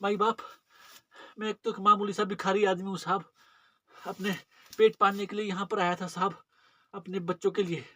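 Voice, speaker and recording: A man's voice: high-pitched, flat delivery, moderate pace, long pauses, fairly bright tone. A man playing a terrified, hungry beggar in a dramatic monologue, pleading and grovelling repetitively for mercy. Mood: frightened pleading.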